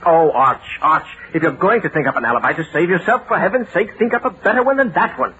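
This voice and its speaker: Exasperated lawyer, dull old recording. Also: male voice, high voice, pushed and bright, slight background noise. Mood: skeptical. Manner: impatient, incredulous, brisk reasoning. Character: exasperated, skeptical defense lawyer. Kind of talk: old-time radio drama acting.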